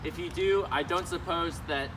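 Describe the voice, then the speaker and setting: A man's voice: on the high side, normal speed, lightly acted. Man playing Gandalf, wise wizard, distant mic. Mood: firm, warning. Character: Gandalf, wise old male wizard guiding and warning travellers. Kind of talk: amateur readers' theater reading. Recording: noisy room, distant microphone.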